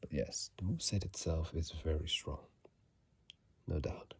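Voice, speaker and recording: A person whispering ASMR commentary about a video game in a husky, breathy, halting way, recorded on a phone microphone. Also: very deep voice, darkish tone, no echo. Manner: hesitant, halting, repeats words. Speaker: host of an ASMR channel.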